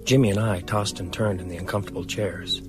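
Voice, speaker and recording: A man's voice: fairly low pitch, fairly even intonation, fairly quick, music in the background, close to an everyday voice. An actor playing a grave, determined man who leads and narrates a radio drama, speaking. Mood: sombre narration. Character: grave, determined man leading a vampire hunt.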